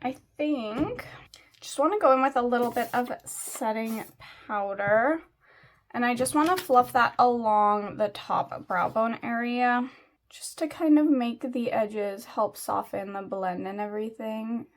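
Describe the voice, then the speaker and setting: A young woman with a slightly raspy voice, chatting casually and rambling with lots of fillers while she does her makeup. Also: on the high side, unhurried. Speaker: beauty vlogger.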